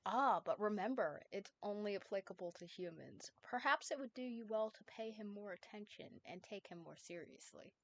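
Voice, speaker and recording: A wise old time ghost explains things slowly and evenly, never hurried, in a fan-story reading, with a woman putting him on. The voice sits in an ordinary middle range and keeps a steady, lecturing calm. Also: medium pitch, lo-fi sound. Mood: advising. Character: calm all-knowing male time ghost.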